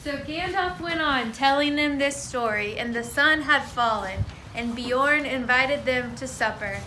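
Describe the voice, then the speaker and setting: A woman's voice: high-pitched, a little slow, sharp tone. A woman narrating a scripted story for an amateur readers' theater. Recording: noisy echoey room.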